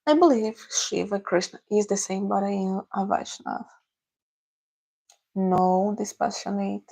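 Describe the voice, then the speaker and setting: A young Brazilian woman with a Portuguese accent, answering viewers casually on a livestream in careful, halting English. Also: medium pitch, fairly even intonation, vocal fry, warm tone. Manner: halting, searching for English words. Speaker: livestream host.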